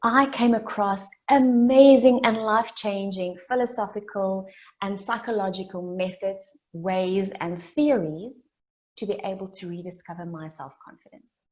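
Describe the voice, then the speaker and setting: A South African woman in her late twenties, a native Afrikaans speaker, giving an earnest motivational keynote that builds its story through deliberate repetition. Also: mid-range voice, a little mellow. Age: late 20s. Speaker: summit speaker.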